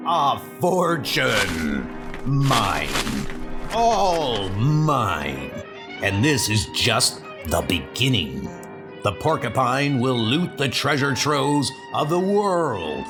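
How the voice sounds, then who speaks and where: Gloating theatrical male supervillain, acted by a man, loud music. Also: mid-range voice, very animated, exaggerated voice. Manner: gloating, theatrical, drawn-out delivery. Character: gloating male villain, weapons engineer in a porcupine suit. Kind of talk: comic-book dub acting.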